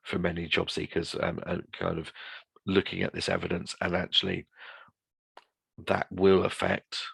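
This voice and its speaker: Male webinar presenter: deep, creaky voice, informal, wandering delivery with repeated phrases. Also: a bit bright, no room sound. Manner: hedging, discursive, repeats phrases. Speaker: guest presenter on employability.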